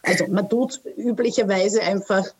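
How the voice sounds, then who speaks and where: A woman in her thirties from Australia speaks as an interview guest in a mid-pitched voice. She talks hesitantly, restarting sentences and filling pauses. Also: fairly even intonation, a little mellow, husky. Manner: hesitant, many fillers and restarts. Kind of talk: casual interview talk.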